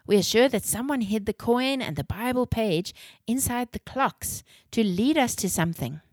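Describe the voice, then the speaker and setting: A woman's voice: on the high side, lively intonation, moderate pace, a little mellow, no room sound, clean studio sound, pitched above the performer's own voice. A woman voicing an earnest, eager young girl who is curious about a mystery in a children's story.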